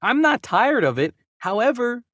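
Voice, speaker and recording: A man's voice: very high voice, sing-song, normal speed, a bit bright, no room sound, clean studio sound. A man co-hosting a movie news podcast, talking casually and conversationally, sometimes reading news items aloud. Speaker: podcast co-host and voice actor.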